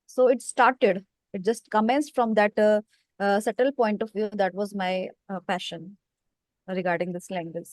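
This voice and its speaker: A woman's voice: medium pitch, fairly even intonation, measured pace, darkish tone, no echo. A woman, a teacher hosting a casual talk, speaking reflectively with many "you know" fillers. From India. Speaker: host, English teacher. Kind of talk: casual conversation practice.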